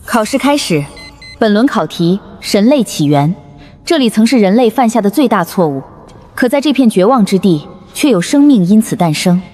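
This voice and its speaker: Impersonal female system announcer, formal declarations, big echo, swooping pitch. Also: sing-song, normal speed, darkish tone, soft background music. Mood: solemn, impersonal. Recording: long hall reverb.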